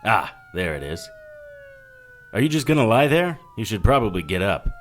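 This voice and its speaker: An actor playing a tough, sardonic 1940s detective hero in an audio drama, tossing off hardboiled quips. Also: male voice, fairly low pitch, sing-song, moderate pace, a little hiss or hum. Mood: wry, casual. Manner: wry, hardboiled, offhand quips. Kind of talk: full-cast period audio drama acting.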